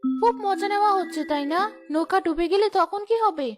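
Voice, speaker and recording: A woman's voice: very high-pitched, brisk, soft background music. A woman voicing a protective, anxious village mother in a cartoon, firm and fretful. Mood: worried.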